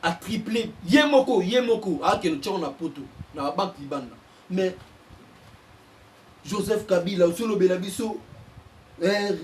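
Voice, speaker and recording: A Congolese man, a journalist and political analyst, giving impassioned commentary and getting louder as he presses his points. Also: sits high, recorded from a distance, a little hiss or hum. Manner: heated, mixes French and Lingala, bursts louder on points.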